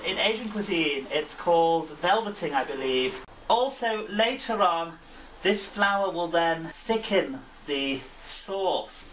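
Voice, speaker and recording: A deadpan Englishman cooks at home with a mock-pompous air. He stands some way from the microphone. Loud sizzling from the pan fills the background. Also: fairly high pitch, slow pace, slight background noise. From England. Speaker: home cook. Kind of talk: comic cooking demonstration. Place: home kitchen. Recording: distant mic, loud kitchen sizzle. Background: frying food sizzling.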